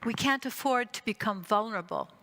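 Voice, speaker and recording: A woman in her sixties from Greece and Canada gives a prepared conference talk as a psychotherapist, phrasing deliberately with emphatic repetition. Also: a little high, bright, forceful tone. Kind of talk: prepared conference lecture with personal story.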